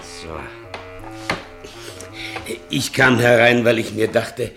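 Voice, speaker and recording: An actor playing an obsequious, chatty servant who muses ponderously and self-pityingly in a 1960s German radio play. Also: male voice, mid-range voice, fairly even intonation, slow pace, vocal fry, a bit bright, soft background music. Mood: ingratiating. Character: servile male servant, chatty philosophising tippler, self-pitying.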